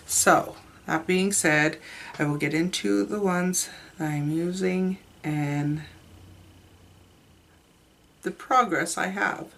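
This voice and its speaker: A woman's voice: on the low side, slow pace, creaky, pauses often, fairly bright tone. A woman with a stuffed-up, sick voice, chatting candidly to camera about her makeup. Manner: chatty, candid, trails off and restarts. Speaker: beauty vlogger. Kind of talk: casual makeup review to camera.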